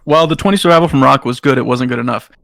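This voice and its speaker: A man's voice: mid-range voice, rapid-fire, creaky, a bit bright, dry recording, studio quality. A man improvising narration as game master in a tabletop role-playing game, speaking directly to his players.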